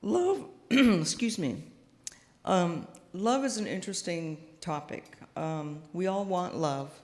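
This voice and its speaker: A soft-spoken woman minister giving a gentle, thoughtful sermon, pausing often with small fillers, speaking into a microphone in a church sanctuary. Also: sits low. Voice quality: quiet voice. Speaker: guest minister. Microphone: house sound system microphone. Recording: long hall reverb.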